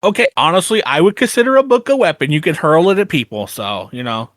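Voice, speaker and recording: A man's voice: a little high, very animated, fast, a bit bright. A man co-hosting in a casual chat, reacting to what the other speaker says. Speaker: co-host reacting to the host.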